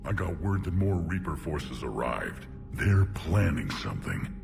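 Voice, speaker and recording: Gravelly, very deep alien warlord. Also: male voice, sing-song, over music, heavily acted. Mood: grimly eager. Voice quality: gravelly, rumbling. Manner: blunt, domineering, deliberate. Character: huge gruff alien warlord clan leader, proud and menacing. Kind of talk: video game cutscene acting.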